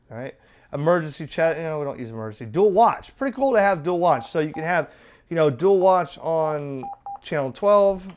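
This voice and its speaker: A man's voice: mid-range voice, a little hiss or hum. A man presenting a casual, off-the-cuff hands-on product demo, conversational and opinionated, often tossing in rhetorical questions.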